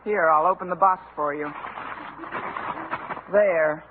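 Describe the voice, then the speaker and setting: An actress playing a wry, sarcastic high school English teacher with deadpan wisecracks in a 1950s radio comedy. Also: female voice, slow pace. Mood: dry sarcasm. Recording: narrow, noisy 1950s radio recording.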